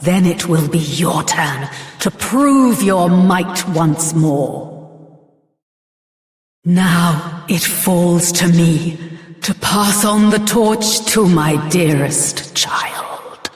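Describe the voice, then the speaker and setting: A man's voice: high-pitched, extremely slow. Echoing, solemn heroic father. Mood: solemn, resolute. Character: solemn heroic father passing on his quest. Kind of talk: scripted video game voice acting. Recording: long echoing hall reverb.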